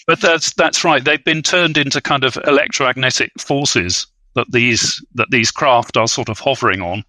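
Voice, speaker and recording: A man talks about film as a thoughtful guest commentator. His voice is bright and forward, recorded very dry. He is scholarly and hesitant, often halting as he builds a point. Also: mid-range voice, no room sound, low-quality recording.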